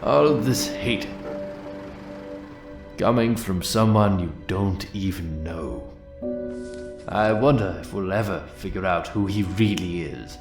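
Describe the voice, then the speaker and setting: A man's voice: low voice, slow, pauses often. A man playing a weary, caring older confidant in a comic dub, speaking in a somber, reflective way. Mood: somber concern. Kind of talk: motion comic voice acting. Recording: echoey room, music behind.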